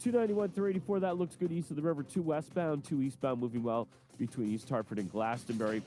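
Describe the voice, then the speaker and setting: A man with a stuffed-up nose giving a brisk, clipped radio traffic report. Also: fairly high pitch, mellow, faint music behind.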